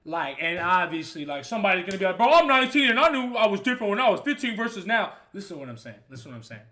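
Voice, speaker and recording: A man's voice: high voice, sing-song, rapid-fire. A man in his twenties giving a rambling, casual reaction to an album, swearing loosely as he talks. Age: 20s. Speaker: streamer reacting to music. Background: loud hip hop music playing.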